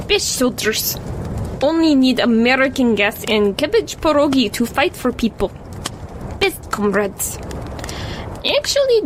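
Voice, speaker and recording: A woman's voice: on the high side, a little hiss or hum, low-quality recording. A woman playing a proud Soviet storyteller, narrating in earnest, clipped broken English with a Russian accent. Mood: proud, deadpan.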